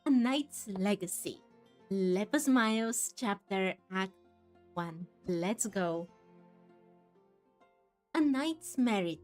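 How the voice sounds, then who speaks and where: A woman's voice: mid-range voice, expressive, drawn-out words, long pauses, darkish tone. A woman giving casual commentary as she plays through a game, chatty and musing aloud, with game music behind. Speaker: gaming streamer. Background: faint game music.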